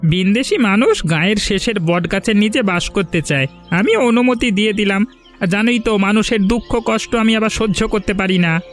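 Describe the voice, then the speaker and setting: A man's voice: sits high, normal speed, soft background music, natural voice. A man voicing a self-important village landlord in a moral story cartoon, pompous and full of questions. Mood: self-satisfied. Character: self-important male village landlord, grudging but obliging.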